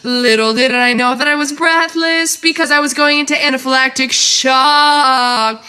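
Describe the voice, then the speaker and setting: A woman's voice: high voice, steady tone, unhurried, bright, forceful tone, clear, resonant tone, soft background music. A woman telling a casual personal anecdote through a musical voice effect.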